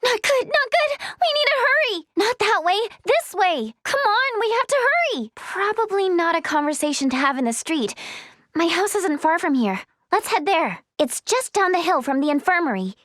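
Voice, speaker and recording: Young female healer's helper, video game, high-pitched, very animated delivery, earnest, kindly, eager. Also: normal speed, no room sound, clean studio sound. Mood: urgent, then helpful, polite. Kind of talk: scripted video game voice lines.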